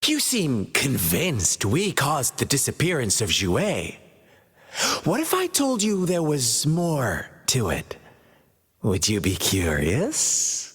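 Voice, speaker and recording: A theatrical young villain from a game, sly and mocking, who swings his pitch all over and drags his words out slowly. His taunts slip into husky whispers, and the audio rings with a long reverb. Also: wildly swooping pitch, a bit bright, full character voice. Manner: playful taunting, teasing drawn-out delivery with whispers. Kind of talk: video game cutscene voice acting.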